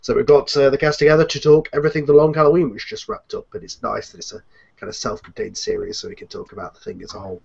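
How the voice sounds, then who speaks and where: Higher-pitched man hosting over dull call audio. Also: wildly swooping pitch, fast talker, creaky, darkish tone, breathy edge. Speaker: host of an online cast chat. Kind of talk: casual panel hosting. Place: online group video call.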